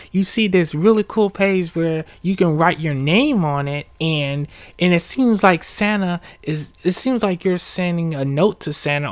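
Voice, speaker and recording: A man hosting an ASMR bedtime story, speaking softly and often whispering, with a relaxed, informal manner. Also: a little high, no echo. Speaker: ASMR video host and storyteller. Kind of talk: ASMR bedtime story reading, casual asides.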